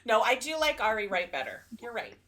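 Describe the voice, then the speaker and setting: Animated, bright-voiced woman podcaster. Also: fairly high pitch, fairly quick. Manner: playful, teasing. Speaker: podcast co-host reviewing romance books. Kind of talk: casual book chat.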